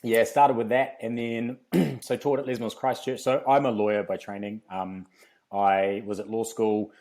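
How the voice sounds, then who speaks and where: Low-voiced New Zealand man. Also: level tone, moderate pace, darkish tone. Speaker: podcast guest, fitness company founder. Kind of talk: casual interview storytelling.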